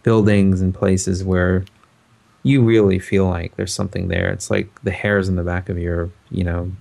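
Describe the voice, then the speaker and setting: A man's voice: low voice, little pitch movement, moderate pace, creaky, darkish tone. A man co-hosting a podcast, musing in casual chat with a hesitant, thoughtful delivery. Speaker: podcast co-host.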